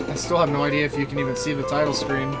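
A gaming video host giving casual, rambling, joking commentary, excitable and given to swearing. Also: medium pitch, rapid-fire. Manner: excitable, with bursts of exclamation. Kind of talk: casual let's play commentary. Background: loud video game music.

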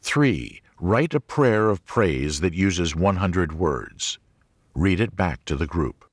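A man reading a religious teaching audiobook as a narrator, in a measured, clearly enunciated manner. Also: sits low, expressive, no room sound, studio quality.

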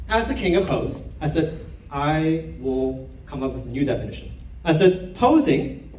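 A man's voice: fairly high pitch, a little mellow, slight background noise. A young man from Korea giving a playful stage talk to a live audience and asking the crowd questions. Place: dark theater stage with an audience. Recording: roomy stage sound heard from far off.

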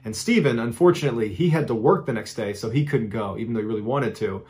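Middle-pitched man in his thirties, host of a storytelling show, speaking straight to camera from a studio. He tells the tale in a casual, lively way, leaning on dramatic beats. The delivery is steady and conversational. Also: fast talker. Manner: animated, conversational retelling with dramatic emphasis. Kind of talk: true-crime storytelling to camera. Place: indoor studio with a green-screen backdrop.

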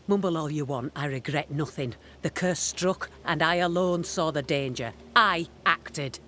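A woman's voice: deep voice, measured pace, fairly bright tone, a little hiss or hum. A woman playing a stern, unrepentant elder sister and ruthless leader in a dramatic video game, with rustic archaic phrasing.

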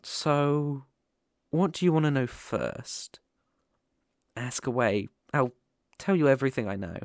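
A British man playing a gentle, protective lone werewolf in an ASMR roleplay, speaking haltingly and reassuringly into a close microphone. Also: mid-range voice, expressive, no room sound. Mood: soothing. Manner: soft-hearted, reassuring, halting and pausing. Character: gentle, protective male lone werewolf living in a forest cabin.

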